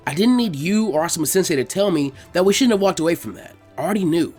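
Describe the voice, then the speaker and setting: A man's voice: high voice, expressive, quick pace, a little mellow, husky, faint music behind. A man voicing a brash, restless young boy ninja who grumbles informally in an audiobook-style story reading. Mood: frustrated, earnest.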